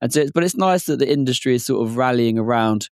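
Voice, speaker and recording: Hoarse, fast-talking man. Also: medium pitch, lively intonation, a little mellow, dry recording, studio quality. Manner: chatty, rambling, many fillers. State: hoarse, croaky voice. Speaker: podcast co-host. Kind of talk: casual chat.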